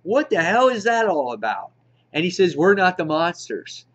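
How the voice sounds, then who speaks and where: A man's voice: sits high, sing-song, normal speed. A man hosting a casual, unscripted review vlog, talking to his viewers with an enthusiastic fan's manner. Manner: enthusiastic fan talk, addresses viewers directly. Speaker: comic book reviewer, channel host. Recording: dull, lo-fi home recording.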